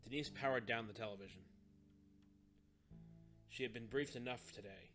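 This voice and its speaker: A man's voice: mid-range voice, normal speed, a bit bright, soft background music. A man reading a scripted story as an audiobook narrator.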